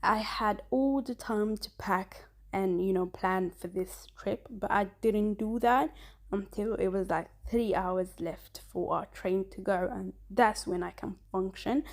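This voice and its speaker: A woman's voice: mid-range voice, a little mellow. A woman whose first language is Somali, in her thirties, chatting casually through her travel vlog with many fillers and restarts. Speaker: vlogger.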